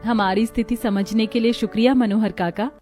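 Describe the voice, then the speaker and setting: A woman's voice: a little high, fairly even intonation, brisk, warm tone, soft background music, close to an everyday voice. A woman voicing a modest, hardworking young village seamstress in a cartoon, polite and earnest. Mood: earnest, grateful.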